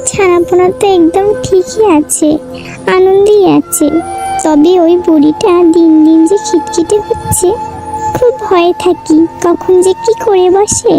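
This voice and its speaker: A little cartoon mother bird, earnest, chirpy and protective. The voice is extremely high and childlike, over clearly audible music. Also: sing-song, a little slow, full character voice, rough recording. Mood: worried, earnest. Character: small anxious mother bird, protective and plucky.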